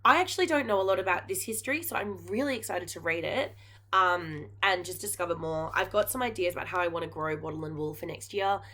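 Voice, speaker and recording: Bright-voiced Australian woman chatting earnestly. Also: on the low side, quick pace. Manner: earnest, chatty, with frequent ums and likes. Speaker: knitting podcast host and geography teacher. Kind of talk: casual chat to camera.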